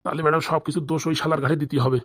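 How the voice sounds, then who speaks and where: A man's voice: on the high side, flat delivery, racing, fairly bright tone, no room sound, studio quality, close to an everyday voice. A man voicing a greedy, fawning corrupt policeman with a rural Bengali accent in a comedy cartoon. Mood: fawning, wheedling. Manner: obsequious, boastful. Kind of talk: comic cartoon dialogue.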